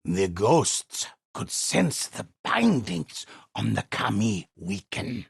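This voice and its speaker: An actor playing an old storyteller in a video game, narrating dark legends in a solemn, ominous, theatrical manner with a husky, gravelly voice. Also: male voice, low voice, dry recording, clean studio sound, full character voice.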